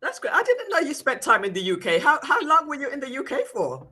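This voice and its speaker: Female interview host, lively swooping intonation, bright forward voice, casual and agreeable. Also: sits high, fast talker.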